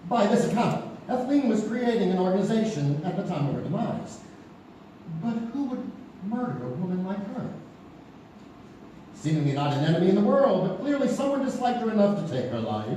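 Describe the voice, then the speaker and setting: A man's voice: high voice, dark tone, recorded from a distance, a little hiss or hum. A man playing a storyteller who narrates an old murder mystery on stage, knowing and theatrical, with rhetorical questions. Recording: echoey live theatre recording from afar.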